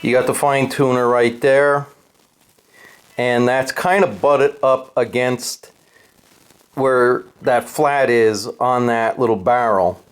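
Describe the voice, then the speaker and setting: A man hosts a casual hands-on tutorial in an unscripted, blunt way. His pace is very unhurried and his pitch sits in a middle range for a man. He explains things step by step. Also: steady tone. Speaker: host of a guitar gear tutorial and review.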